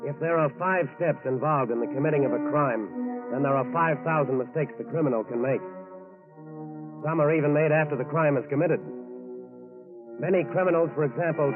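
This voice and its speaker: A man narrating a scripted 1940s radio crime drama in a grave, emphatic manner. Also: a little high. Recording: tinny, narrow-band 1940s radio recording. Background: music.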